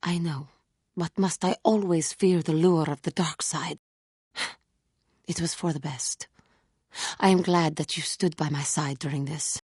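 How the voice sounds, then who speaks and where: A brooding female alien warrior from a video game, with a low, husky voice recorded close and dry. She speaks in solemn, formal, measured phrases, earnest and full of self-doubt. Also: fairly even intonation, darkish tone, no echo. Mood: regretful, conflicted. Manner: formal, solemn, measured speech without contractions. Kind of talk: video game character acting.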